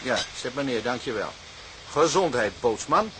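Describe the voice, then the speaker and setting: An actor playing an older, thrifty sea captain in a radio drama, speaking in a measured, paternal way with hums and repeated murmurs. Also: male voice, medium pitch, expressive, fairly bright tone, slight background noise, lightly acted, rough recording. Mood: hospitable, frugal.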